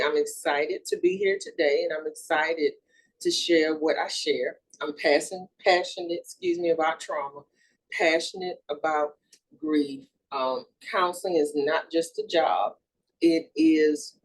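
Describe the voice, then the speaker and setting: A woman in her thirties from Houston, a grief and trauma therapist, giving an earnest, measured presentation with small fillers and self-corrections. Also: deep voice, darkish tone, poor audio. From Houston, Texas. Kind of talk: recorded educational presentation.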